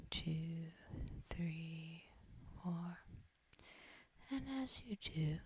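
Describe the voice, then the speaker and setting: A woman softly whispering a guided sleep hypnosis in a breathy, husky voice, gentle and soothing, with long deliberate pauses. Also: lowish voice, slight background noise. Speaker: ASMR host guiding sleep hypnosis. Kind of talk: soft-spoken ASMR guided relaxation.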